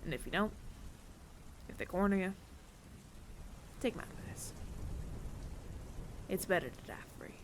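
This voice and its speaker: A man playing a weary, remorseful bounty hunter in an audio roleplay, speaking in hushed, breathy, deliberate phrases with heavy pauses. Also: sits high, animated. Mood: resolute, gentle. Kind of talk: dramatic ASMR audio roleplay monologue. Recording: clear rain noise behind the voice. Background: rain.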